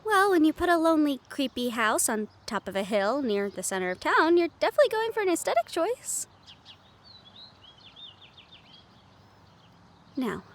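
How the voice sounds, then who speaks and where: High-pitched, exasperated young woman, as played by an actress. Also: a little hiss or hum. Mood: wary, firm. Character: young woman, anxious, sweary, exasperated medium haunted by a ghost. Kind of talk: audio roleplay acting.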